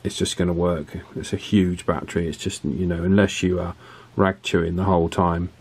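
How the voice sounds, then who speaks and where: A man's voice: low-pitched, flat delivery, normal speed, darkish tone, husky. A man with a British accent giving a casual, chatty hands-on product review in colloquial language. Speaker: radio reviewer.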